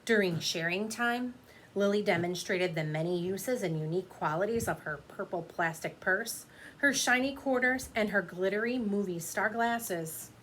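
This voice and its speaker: Woman, schoolteacher read-aloud: expressive storytelling, asides to young listeners, medium pitch, slight background noise. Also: moderate pace, low-quality recording. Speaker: teacher reading aloud to children. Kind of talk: children's picture-book read-aloud with asides.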